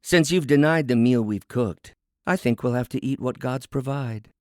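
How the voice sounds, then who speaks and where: A man's voice: mid-range voice, normal speed, no room sound, clean studio sound, pitched above the performer's own voice, close to an everyday voice. A man voicing a proud, defiant working woman, a cook in a storybook fable, speechifying with indignant emphasis. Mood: menacing. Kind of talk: dramatic storybook fable reading.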